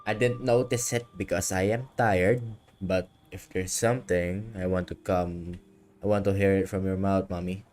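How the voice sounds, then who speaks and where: A woman's voice: rumbling low, fairly even intonation, moderate pace, a little mellow. A woman giving casual live commentary as a game streamer. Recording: game music behind the voice.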